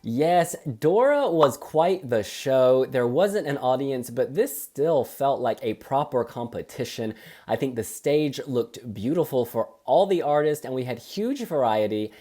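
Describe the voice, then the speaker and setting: A woman co-hosting a casual review chat, talking in an animated, chatty and opinionated way. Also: a bass voice, unhurried, soft background music. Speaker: co-host.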